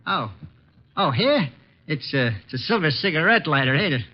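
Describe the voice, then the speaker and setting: A man's voice: fairly high pitch, big pitch swings, moderate pace. An American actor playing a nervous, very tall small-time sneak thief in 1940s radio mystery style, stammering in uneducated slang as he pleads innocence. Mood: nervous, sheepish. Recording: dull old 1940s radio recording.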